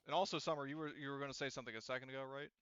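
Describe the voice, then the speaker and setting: A man's voice: medium pitch, steady tone, rapid-fire, a bit bright, clean tone, dry recording, dull audio. A man acting as game master in an online tabletop roleplaying session, thinking aloud step by step.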